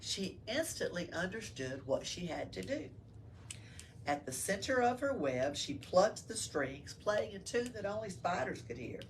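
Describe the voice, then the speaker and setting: Woman, slow storyteller delivery, slightly low pitch, simple explanations for children, faint background noise. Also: very animated, distant mic. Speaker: library storyteller. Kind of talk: read-aloud storytelling for children. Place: library room.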